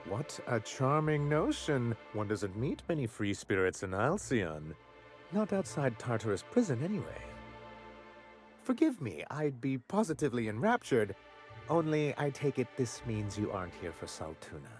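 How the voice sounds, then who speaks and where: A man's voice: mid-range voice, sing-song, normal speed, faint music behind, natural voice. An actor playing a flamboyant, vain male corporate executive who talks in a theatrical, florid way full of innuendo. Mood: grandiloquent.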